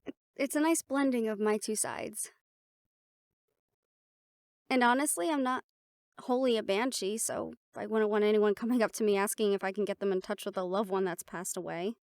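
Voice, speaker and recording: A woman voicing a playful, chatty half-siren, half-banshee in an intimate audio roleplay, talking casually and haltingly to a listener. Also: medium pitch, a little mellow, no echo, studio quality, lightly acted.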